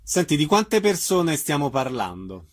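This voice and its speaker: A man's voice: a little high, very animated, measured pace. A man hosting a radio programme, interviewing guests and announcing events. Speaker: radio host interviewing theatre artists.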